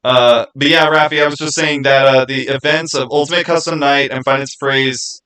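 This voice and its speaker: Man, livestream host, bright voice, chatty, rambling, thinking aloud, casual, mid-pitched. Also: steady tone, brisk, smooth. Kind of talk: casual livestream chat, answering viewer comments.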